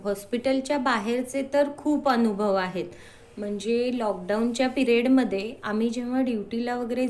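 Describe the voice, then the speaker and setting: A woman answering as an interview guest, earnestly explaining and mixing in English words. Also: on the high side.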